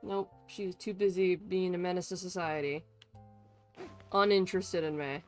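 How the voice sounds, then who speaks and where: A woman live-streaming a game with casual, expressive and sweary commentary, sometimes reading text aloud. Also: lowish voice, moderate pace. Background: faint game music.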